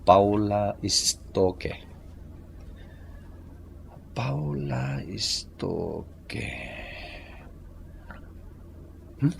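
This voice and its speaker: A man acting an earnest, lovestruck suitor in a Filipino radio drama, with an Ilocano accent and an expressive, wooing manner. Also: sits low, vocal fry, a little hiss or hum, exaggerated voice. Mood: puzzled, eager. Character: lovestruck, earnest adult suitor named Ricky.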